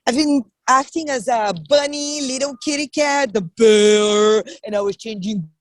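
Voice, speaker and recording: A higher-pitched woman with a Bulgarian accent speaks English as a second language. She talks in a chatty way, starting over mid-sentence. The sound is dry and close, with no room around her voice. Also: unhurried, dry recording. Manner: chatty, restarts sentences, non-native phrasing. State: voice worn low from voice work. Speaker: guest, actress and puppeteer. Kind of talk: casual video-call conversation.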